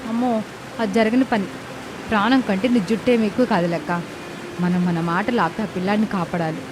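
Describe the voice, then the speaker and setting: A woman's voice: mid-range voice, fairly even intonation, a little fast, background noise, close to an everyday voice. A woman playing earnest, caring young village sisters in a moral story cartoon, with a dramatic delivery. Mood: pleading, dismayed. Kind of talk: animated moral story dialogue. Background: background music.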